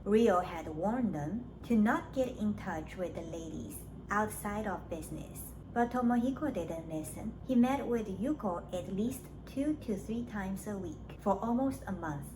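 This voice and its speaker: A woman narrating a scripted summary of a story, as a book reviewer does. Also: medium pitch. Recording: clearly noisy background.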